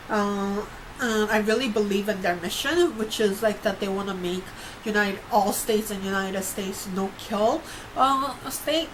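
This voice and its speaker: Young woman vlogger from Korea and New York, mid pitch, rambling chat, audible background hiss. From Korea, grew up in New York. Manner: chatty, many fillers and restarts. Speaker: YouTuber. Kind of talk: casual vlog chat. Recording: noisy home recording.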